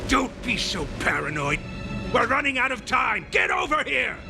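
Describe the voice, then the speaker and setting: Gravelly, commanding raider villain. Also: male voice, extremely high pitch, very animated, moderate pace, music in the background, exaggerated voice. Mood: urgent. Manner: commanding, menacing, prone to barking orders. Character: ruthless beast-man raider leader in disguise, hot-tempered and scheming. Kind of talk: dramatic video game voice acting.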